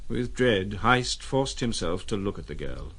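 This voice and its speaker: A man narrating a literary audio drama in a measured, solemn, dramatic style. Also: lowish voice, animated, a bit bright, husky.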